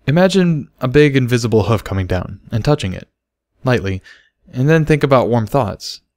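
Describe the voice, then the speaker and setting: Male reader as a adult unicorn stallion, patient teacher, slow, gentle, commanding, storybook character, dry close recording. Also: medium pitch, expressive, no echo, voice pushed up, lightly acted. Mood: patient, encouraging.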